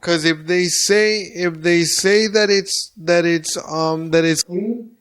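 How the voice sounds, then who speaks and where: A man's voice: high-pitched, slow, smooth. A man who does stand-up comedy, talking bluntly and profanely in a casual podcast chat. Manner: blunt and profane, animated storyteller. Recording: very echoey room.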